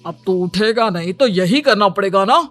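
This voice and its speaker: A stern middle-aged father from a cartoon moral story, acted by a man. The voice is bright and forceful, always nagging and exasperated, with faint music behind it. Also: high voice, moderate pace. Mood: scolding. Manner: scolding, nagging.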